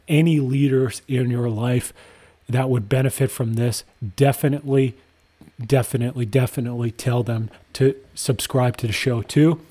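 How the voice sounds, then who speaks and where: A man's voice: medium pitch, fairly even intonation, moderate pace, mellow, breathy edge. A man co-hosting a podcast, reading an enthusiastic intro and chatting casually with guests, from Canada. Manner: enthusiastic, repetitive emphasis. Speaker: podcast co-host.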